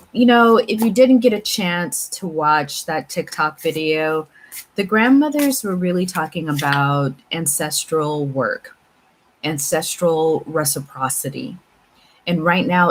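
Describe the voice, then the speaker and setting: A woman hosting a tarot channel, talking casually and reflectively to viewers about spiritual matters, with halting pauses and repeats. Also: deep voice, poor audio. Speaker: tarot reader and channel host. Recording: clear steady background room noise.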